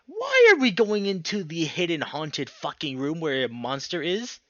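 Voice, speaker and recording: A man narrating a visual novel aloud as a let's player, dramatic and expressive, swearing freely in casual reactions. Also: a little high, no echo, lo-fi sound. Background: music.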